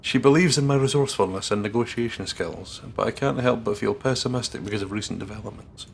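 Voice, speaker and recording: A man's voice: lowish voice, fast, vocal fry. A man co-hosting a let's play, casually reading game text aloud with laid-back, joking asides. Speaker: co-host partner on a gaming channel. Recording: faint background noise and ambience. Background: faint noise, ambience.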